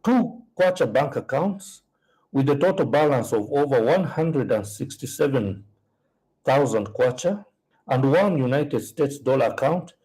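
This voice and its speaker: A man reading a prepared official statement in a formal, deliberate manner. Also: mid-range voice, lively intonation, darkish tone, breathy edge, poor audio.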